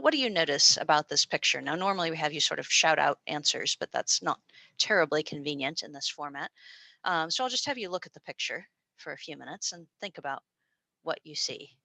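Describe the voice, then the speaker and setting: A woman's voice: deep voice, lively intonation, normal speed, vocal fry, bright, forceful tone, no room sound. An American woman presenting a university program over a video call, chatty and informal with frequent fillers and restarts. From United States. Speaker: presenter and postdoctoral researcher. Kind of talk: online information session presentation. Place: small room at a university.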